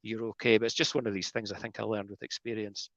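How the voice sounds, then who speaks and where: A man's voice: on the low side, little pitch movement, quick pace, vocal fry, fairly bright tone, no echo. A Scottish man chatting at length on a video call as a guest radio amateur, often restarting his sentences. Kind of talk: casual video call chat. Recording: dull, dry video call audio.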